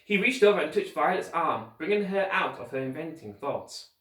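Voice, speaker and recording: A man reading a children's story aloud with expressive, emphatic narration and dramatic pauses, like a teacher reading to pupils. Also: a little high. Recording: echoey room, distant microphone.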